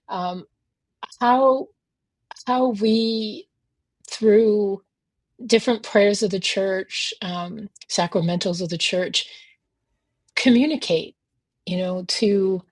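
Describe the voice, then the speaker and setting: Husky chatty woman with a Boston accent. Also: medium pitch, no room sound. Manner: chatty, laughs often, many fillers. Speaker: podcast cohost, religious sister. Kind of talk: casual chat.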